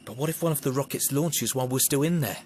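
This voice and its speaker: An actor playing a wary rebel worker in an audio drama, earnest and explanatory. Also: male voice, mid-range voice, quick pace, a little mellow, a little hiss or hum, lightly acted.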